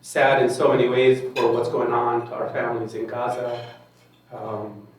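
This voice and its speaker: Man from Syria, forum panelist, measured with fillers, recorded from afar. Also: medium pitch, little pitch movement. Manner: measured, with many ums and restarts. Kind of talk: forum talk. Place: large echoey meeting hall. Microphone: handheld microphone. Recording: echoey hall, mic far off.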